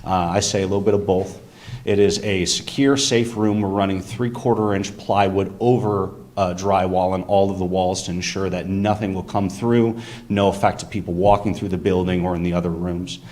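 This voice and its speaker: A deep-voiced young man speaks up in a council chamber, his voice naturally loud and carrying. He lays out his business plan with eager enthusiasm, moving through details point by point. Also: steady tone, moderate pace. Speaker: business owner presenting to a council. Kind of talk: public comment at a meeting.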